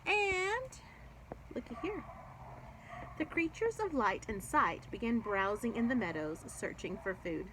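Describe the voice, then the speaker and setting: A woman with a fairly high, highly animated voice reads a children's storybook aloud. She is expressive and explanatory, speaking as to young listeners, with some noise in the background. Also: poor audio. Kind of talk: children's book read-aloud with chatty asides.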